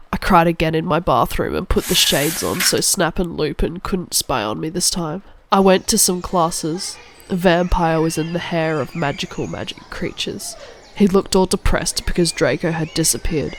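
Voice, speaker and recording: A moody teenage goth girl, acted by a woman tells her cartoon story with plenty of melodrama. The voice sits a little low, and some noise runs behind it. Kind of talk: scripted narration of a parody cartoon. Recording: clearly audible background noise.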